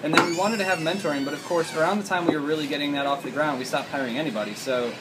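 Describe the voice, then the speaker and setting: A man with a fairly high voice talks quickly, taking part in a read-aloud group discussion. There is clear steady room noise behind him. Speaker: college writing instructor. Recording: noisy room with steady hum.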